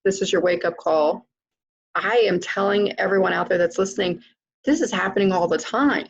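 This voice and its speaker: A woman's voice: fairly low pitch, moderate pace, breathy edge, highs cut off. A woman hosting an interview, speaking emphatically and addressing her listeners directly. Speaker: host. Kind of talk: interview, spiritual coaching chat.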